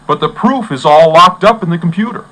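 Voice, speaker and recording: Adventure hero: earnest, eager, higher-pitched, swooping delivery, read-along story cassette. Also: male voice, fairly quick, heavily acted, poor audio. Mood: friendly, bitter. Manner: animated, earnest. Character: eager, heroic young men in computer adventure. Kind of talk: children's audio story acting. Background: loud music.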